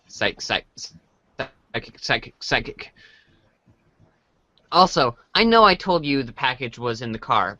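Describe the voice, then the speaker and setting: Teenage boy played by an amateur actor, excitable and sarcastic, slow exaggerated delivery, close dry sound. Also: mid-range voice, no echo, dull audio. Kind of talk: amateur dramatic reading of webcomic chat.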